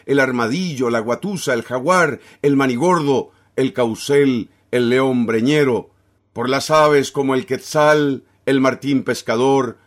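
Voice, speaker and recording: A Costa Rican man reading a scripted opinion commentary as a host, in an emphatic, declamatory, rhetorical way. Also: medium pitch. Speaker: commentator and television program host.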